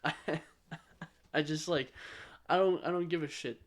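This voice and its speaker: A man's voice: on the high side, unhurried. Blunt man chatting on a podcast. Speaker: podcast co-host. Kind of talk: casual opinionated chat.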